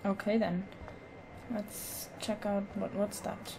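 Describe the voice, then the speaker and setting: A woman hosting a casual let's play, commenting in a hesitant, low-key way. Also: flat delivery, takes it slowly, creaky, background noise. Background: video game ambience.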